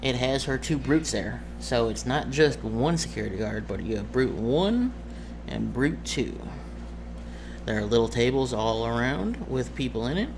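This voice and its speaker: A man's voice: mid-range voice, expressive, a little slow. Man narrating a tabletop game, noisy recording. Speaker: game master.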